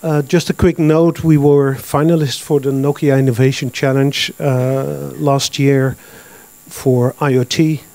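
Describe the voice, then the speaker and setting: A man in his fifties with a Dutch accent presents to a conference crowd, speaking plainly with many uh and um fillers. His voice sits at an ordinary middle pitch. The handheld mic gives a dry, close sound. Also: flat delivery, unhurried, creaky, a little mellow, no echo. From Netherlands. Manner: plain, matter-of-fact, with frequent uh and um. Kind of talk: business presentation. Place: large conference hall with audience. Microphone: handheld microphone.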